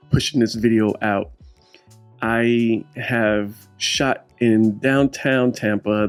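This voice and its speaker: A man's voice: lowish voice, a little mellow. A man hosting his own vlog, talking through his street photos in a casual, explanatory voiceover with frequent fillers. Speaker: street photographer and channel host.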